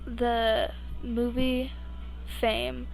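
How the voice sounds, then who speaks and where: A young woman with a fairly high voice reads fan fiction aloud as an amateur. Her delivery is slow and halting, with frequent stumbles and restarts. She slips informally between the story and her own asides. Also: flat delivery, creaky, faint music behind, poor audio. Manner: halting, stumbling over words. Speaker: amateur podfic reader.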